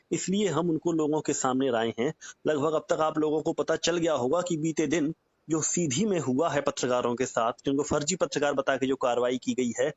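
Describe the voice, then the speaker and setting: A man's voice: fairly high pitch, dry recording. A man working as a journalist conducts a news phone interview, politely explaining the background and putting questions, on a call recording. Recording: dull, dry lo-fi call recording.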